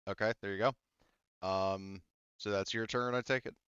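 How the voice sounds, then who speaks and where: A low, smooth-voiced man runs a tabletop game, patiently checking each rule and roll with his players. His voice is resonant but comes through dull, lo-fi voice chat audio with a dry sound. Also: steady tone, normal speed, a bit bright, no echo. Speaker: game master.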